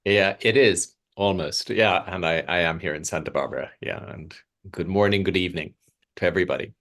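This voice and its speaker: An older man with an Irish accent, a guest speaking reflectively from his study on a video call, halting thoughtfully as he searches for words. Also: low-pitched, a bit bright. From Ireland. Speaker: palliative care physician, interview guest. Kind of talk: reflective conversational interview. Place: home study.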